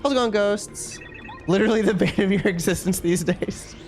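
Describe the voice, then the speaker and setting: A man's voice: sits high, moderate pace, darkish tone, soft background music. A man livestreaming a video game, chatting casually with viewers and commenting on play in a wry, ranting way.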